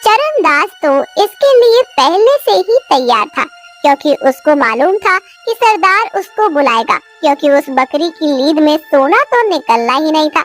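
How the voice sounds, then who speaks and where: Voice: high-pitched, sing-song, unhurried, fairly bright tone. A narrator telling a scripted children's cartoon moral story, with children's music behind the voice.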